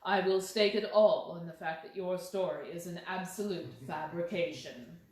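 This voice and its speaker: The sound is very echoey, with a woman narrator playing a gentleman detective in a storybook reading. The voice is dropped low and speaks with grave, measured courtesy, gently insistent and firm. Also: natural voice. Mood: stern. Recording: very echoey room.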